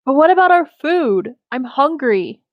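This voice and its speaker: A woman's voice: high voice, sing-song, a little slow, dry recording, highs cut off, pitched above the performer's own voice. A woman voicing a needy, complaining male camper in a story reading, with a whiny, pleading manner.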